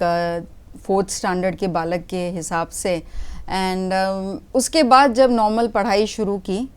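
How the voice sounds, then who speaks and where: A woman in her forties from Gujarat, a retired army officer speaking as a guest in a broadcast interview, measured, with many pauses and English phrases mixed in. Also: mid-range voice, darkish tone, clean tone. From Gujarat, India.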